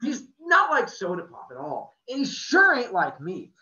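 A man's voice: lowish voice, fairly even intonation, fast, pushed and bright, far from the mic, dull audio, pitched below the performer's own voice. A man reading aloud, voicing a bitter, hot-tempered teenage boy who speaks in passionate outbursts.

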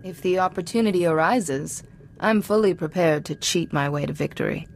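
An actress playing a composed, resolute young female warrior-monk leader in a video game, measured and calm. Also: fairly low pitch, gravelly, slight background noise. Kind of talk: video game cutscene acting.

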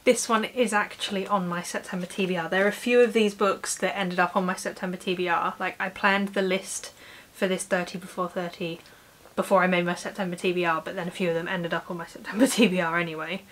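A woman's voice: fairly low pitch, fairly even intonation, fast talker, fairly bright tone. A woman in her late twenties with a British accent, chatting casually and informally to camera as a book vlogger in a room at home. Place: small home room with bookshelves. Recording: clear background hiss.

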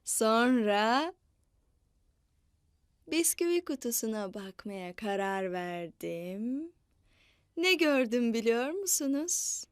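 A woman telling a story to young children in a playful, expressive, repetitive way. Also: on the high side, clean tone. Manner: slow, repetitive, expressive delivery with animal mooing.

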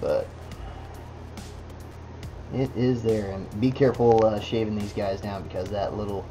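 Slow, low-voiced man building a kit. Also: poor audio. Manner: relaxed, talks to the parts as he works. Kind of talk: casual build tutorial. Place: indoor workbench. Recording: music bed under voice. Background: background music.

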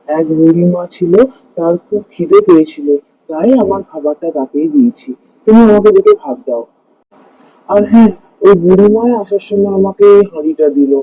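A man's voice: high voice, vocal fry, mellow, slightly rough, heavily acted, low-quality recording. A man voicing a humble, kind-hearted village husband in a cartoon fairy tale, earnest and full of wonder. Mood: gentle, earnest.

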